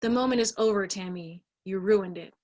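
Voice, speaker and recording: A woman's voice: mid-range voice, moderate pace, a little mellow, muffled recording, lightly acted. A woman in an amateur play acting a snooty teenage bully with a scornful, drawling valley-girl manner. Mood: irritated.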